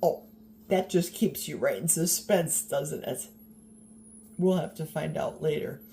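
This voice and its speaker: An older woman talks slowly and easily to a handheld camera while she cooks in her home kitchen. Her voice is mellow and warm, and her tone is chatty, encouraging and a little wry. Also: low-pitched, creaky, a little hiss or hum. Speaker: vlogger. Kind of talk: casual vlog chat while cooking.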